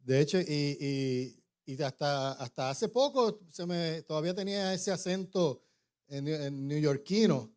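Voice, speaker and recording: A middle-aged man preaches a sermon, his voice pitched slightly above most men's. His was English. He talks loosely and conversationally, often restarting his phrases and checking in with the congregation through tag questions. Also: animated, measured pace, a little mellow. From born in New York, raised in Puerto Rico. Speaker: pastor.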